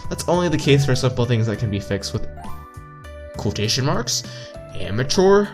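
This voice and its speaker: A man's voice: medium pitch, very animated, normal speed. A man reading visual novel text aloud as a let's player, stumbling over lines and repeating words, with joking asides. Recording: game music behind voice.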